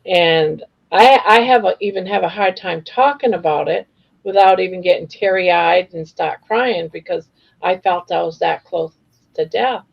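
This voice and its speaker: A woman in her sixties with a gravelly, crackling voice. She talks in a candid, heartfelt way, like a casual chat among friends. The audio is a little dull and lo-fi. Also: lowish voice, measured pace. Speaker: host of a live crochet chat panel. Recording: slightly dull, lo-fi livestream audio.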